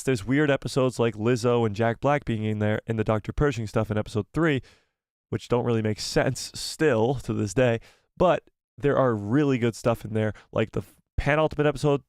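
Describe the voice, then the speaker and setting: A man's voice: medium pitch, a little mellow, smooth, dry recording, studio quality. Opinionated man hosting a live fan stream. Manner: opinionated, ranking and reading chat replies aloud. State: losing his voice. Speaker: host of a live fan show.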